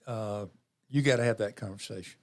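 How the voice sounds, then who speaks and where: A man in his seventies talking reflectively as an interview guest, measured, with pauses and phrases repeated for emphasis. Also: fairly low pitch. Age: 70s. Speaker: interview guest, community program leader.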